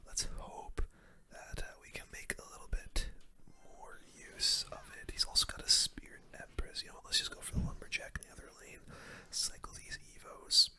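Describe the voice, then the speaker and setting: A person whispering casual, slangy gameplay commentary in an ASMR style, with a breathy, unvoiced delivery. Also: normal speed, bright, forceful tone. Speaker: gaming content creator and host.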